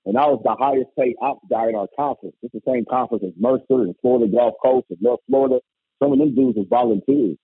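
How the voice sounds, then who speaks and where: A loud, emphatic man from Indiana talks over a thin, phone-like line, his voice gravelly and husky with a dry, close sound. His pitch leaps around as he tells his story. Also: big pitch swings, fast talker, no room sound. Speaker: guest, former college basketball coach turned musician. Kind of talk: casual conversation.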